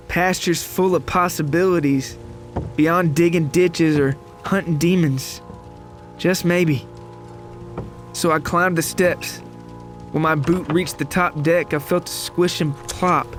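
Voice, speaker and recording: A man narrating a scripted first-person horror story in a dramatic, solemn, suspenseful manner. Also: fairly high pitch.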